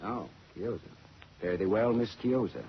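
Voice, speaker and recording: An American actor playing a wry, world-weary private detective in 1940s radio noir, sardonic and wisecracking. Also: male voice, on the low side, normal speed, natural voice. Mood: teasing. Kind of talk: 1940s radio noir acting and first-person narration. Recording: dull, noisy old radio recording.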